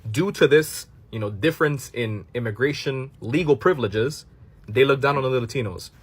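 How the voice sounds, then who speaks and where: A man's voice: mid-range voice, moderate pace, slightly rough. A man from Miami reacting to a clip and explaining his view straight to viewers, dropping in Spanish phrases. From Miami, United States. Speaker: commentary channel host. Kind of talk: casual reaction commentary to a clip.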